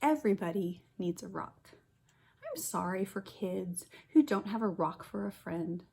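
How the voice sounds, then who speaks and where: A woman kindergarten teacher reading a picture book aloud, with expressive stressed words and chatty asides to the children. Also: mid-range voice, measured pace, vocal fry, slight background noise.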